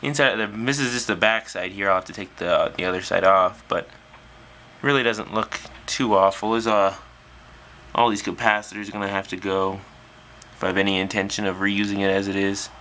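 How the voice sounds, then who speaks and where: A man's voice: on the low side, fairly even intonation, moderate pace, pushed and bright, slight background noise. A man talking casually and off the cuff as a hobbyist presenter, hesitantly thinking aloud at an indoor workbench on a camera's built-in mic. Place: small indoor workshop at a bench.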